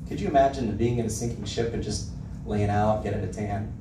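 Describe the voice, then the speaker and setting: A man's voice: fairly low pitch, fairly even intonation, a little fast, noisy background. A middle-aged man preaching a sermon into a handheld mic, reading song lyrics aloud with emphasis. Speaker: pastor. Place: church hall with projector screen. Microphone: handheld mic, filmed from the back of the room. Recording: echoey hall, mic heard from a distance.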